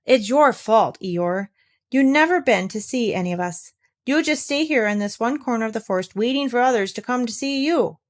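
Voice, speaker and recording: Woman voicing a brisk, bossy rabbit, animated pitch. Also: lightly acted. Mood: brisk, scolding. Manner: brisk, practical, correcting. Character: brisk, bossy, matter-of-fact male rabbit. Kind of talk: children's storybook read aloud.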